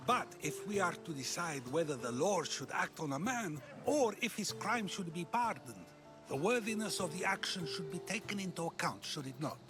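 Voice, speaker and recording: Condescending philosopher. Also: male voice, high-pitched, expressive, over music. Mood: musing. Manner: measured, condescending. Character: lofty male philosopher, condescending.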